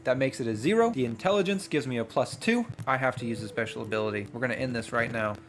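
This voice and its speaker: A man playing a tabletop game, reading narration aloud and thinking out loud in excitable, slangy asides. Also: mid-range voice, fast talker. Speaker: player and host of a gaming channel. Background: music.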